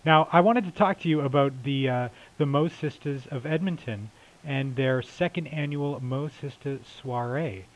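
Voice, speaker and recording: A man's voice: medium pitch, normal speed. Chatty male interviewer, dull phone sound. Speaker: interviewer, host. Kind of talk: casual radio-style phone interview. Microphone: telephone.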